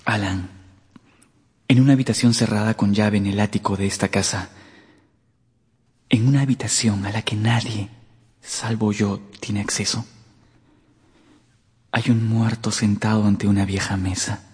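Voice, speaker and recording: An actor playing a cold, secretive young gentleman in a radio drama, speaking in a deliberate, controlled way. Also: on the low side, fairly even intonation, breathy edge. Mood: grave, controlled. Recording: long reverberant hall.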